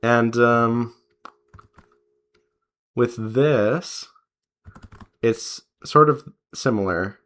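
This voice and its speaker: A man chatting casually as he draws, rambling in an unhurried way and pausing mid-sentence. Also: mid-range voice, fairly bright tone. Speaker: artist and devlog host. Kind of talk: casual chat while drawing.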